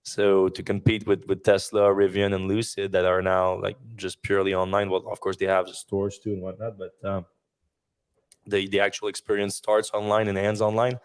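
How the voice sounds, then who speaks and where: A man with a low, fairly monotone voice talks through car news on a podcast, rambling with fillers and false starts. His voice is wearing thin and hoarse. He speaks into a desk mic from a home office. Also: normal speed. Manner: rambling, many fillers and restarts. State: losing his voice. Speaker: podcast host. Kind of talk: casual news discussion podcast.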